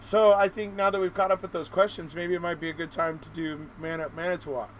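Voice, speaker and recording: A man with a fairly high voice hosts a live stream. He is chatty and informal, encouraging his guest along. There is faint ambient noise behind him. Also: fairly even intonation, quick pace. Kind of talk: casual live-stream hosting.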